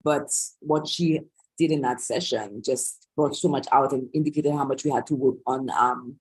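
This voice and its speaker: A woman's voice: extremely low pitch, normal speed, a little mellow, breathy edge, low-quality recording. A woman organiser hosting a live online Q&A on a video call, talking loosely with many restarts and asides. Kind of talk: live-streamed online Q&A talk.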